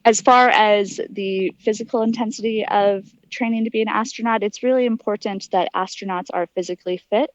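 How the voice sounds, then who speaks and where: A woman's voice: medium pitch, fairly even intonation, brisk, hardly any pauses, dry recording, lo-fi sound. An American woman giving informal, detailed and articulate explanations as an interview guest. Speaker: interview guest, aspiring astronaut.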